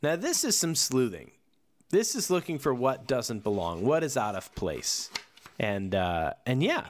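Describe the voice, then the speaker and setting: Relaxed man reading aloud on a podcast. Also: medium pitch, a little slow, a little hiss or hum. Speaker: podcast co-host reading from a book. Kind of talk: casual podcast chat with read-aloud passages.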